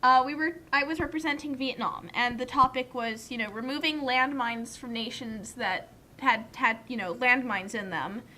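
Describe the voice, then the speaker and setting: A woman's voice: on the high side, normal speed, few pauses, sharp tone, a little hiss or hum, rough recording. A young adult woman giving casual comedic commentary in an emphatic, nerdy, argumentative way.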